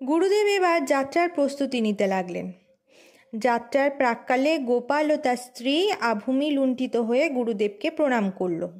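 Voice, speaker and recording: A woman's voice: high voice, lively intonation, moderate pace, darkish tone. A woman hosting a story channel, reading a scripted tale as its narrator.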